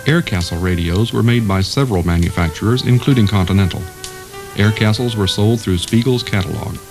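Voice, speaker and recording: A man's voice: on the low side, fairly quick. A man reading scripted documentary narration in the role of narrator. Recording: music behind the voice. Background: instrumental music.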